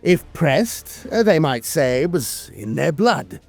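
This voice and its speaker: A man reading scripted fantasy war lore as a narrator, in a theatrical, deliberate manner with pauses and hesitant filler sounds. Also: on the high side, sing-song, a little mellow. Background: music.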